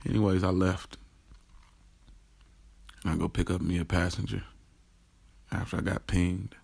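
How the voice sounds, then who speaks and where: A man's voice: low-pitched, flat delivery, measured pace, creaky, a little mellow, no room sound, heavily acted. An American man playing a young, streetwise rideshare driver who tells his story in a slangy, hesitant, confessional audio drama narration. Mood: puzzled. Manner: conversational, slangy, hesitant self-corrections. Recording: music behind the voice. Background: music.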